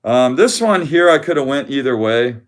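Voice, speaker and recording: Loud, rambling man on a casual live stream. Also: mid-range voice, sing-song, moderate pace. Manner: loud voice that carries, laughs often, rambling with restarts. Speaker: card collector hosting a live stream. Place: home room with weak Wi-Fi.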